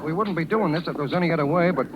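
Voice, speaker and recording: An actor playing a plain-spoken, protective circus man with rough grammar in a 1930s radio drama. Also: on the high side, racing, lightly acted. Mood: apologetic. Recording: muffled, hissy 1930s radio broadcast.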